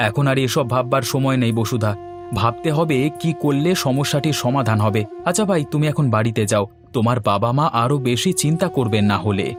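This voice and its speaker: A man's voice: mid-range voice, fairly quick, music in the background, close to an everyday voice. Man acting a kind, humble young husband. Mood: concerned. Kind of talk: Bengali fairy-tale cartoon dubbing.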